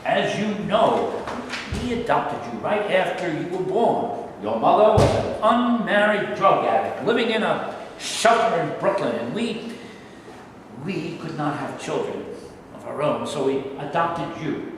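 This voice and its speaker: A man's voice: a little high, animated, measured pace. A man playing a stern, protective adoptive father in a staged play reading. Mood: grave, explaining. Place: live staged reading in a hall. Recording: echoey hall, distant mic, faint noise.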